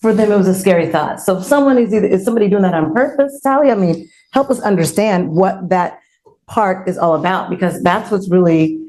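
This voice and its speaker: A woman's voice: medium pitch, fairly quick, a little mellow, low-quality recording. A woman co-hosting a conversational panel show, emphatic and direct with the audience, repeating phrases for effect. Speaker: panel co-host.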